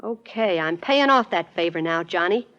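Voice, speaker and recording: A woman's voice: on the low side, expressive, normal speed, a bit bright, smooth, lo-fi sound. An actress playing a tough, intense young woman in trouble in a 1940s radio detective drama, clipped and insistent. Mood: urgent, pleading.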